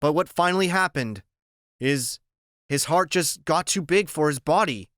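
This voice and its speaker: A man voicing a gentle, weary woman doctor who speaks in a soft, earnest, consoling way. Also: on the high side, animated, normal speed, no echo, clean studio sound.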